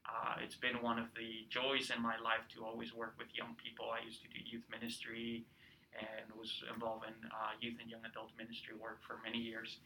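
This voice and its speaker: Guest spot: man in his thirties from Peru, very bright voice, chatty storyteller, faint background hiss. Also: mid-range voice, monotone, brisk, poor audio. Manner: warm storytelling with many fillers. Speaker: guest, cultural center director. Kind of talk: casual interview chat.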